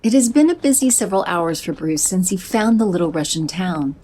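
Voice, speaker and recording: A woman giving even, descriptive scripted narration for an audio drama. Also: fairly low pitch, brisk, a little hiss or hum, rough recording.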